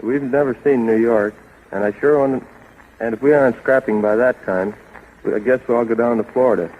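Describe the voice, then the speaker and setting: A man's voice: mid-range voice, fairly quick, narrow, tinny audio. A man in his twenties as a guest in a scripted-sounding 1930s radio interview. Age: 20s. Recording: thin, slightly noisy old radio broadcast.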